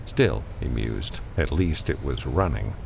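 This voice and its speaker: A man's voice: a bass voice, expressive, unhurried, vocal fry, darkish tone, a little hiss or hum. A man reading scripted fiction as an audiobook narrator.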